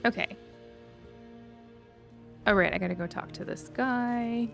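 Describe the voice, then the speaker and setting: A woman's voice: on the high side, unhurried, creaky, fairly bright tone, soft background music. A woman chats casually while live streaming a game, thinking aloud in a rambling, self-deprecating way.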